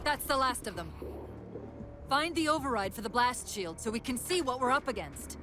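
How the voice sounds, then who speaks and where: A woman playing a quick-witted, caring AI companion in a video game, with a brisk, wry briefing delivery. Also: on the high side, expressive, background noise, close to an everyday voice. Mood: tense, businesslike. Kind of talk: video game cutscene acting.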